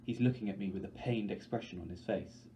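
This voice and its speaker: A man's voice: fairly low pitch, a little fast, mellow. A man reading a story aloud as scripted, dramatic narration. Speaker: audiobook reader, teacher. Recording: dull recording with light background noise.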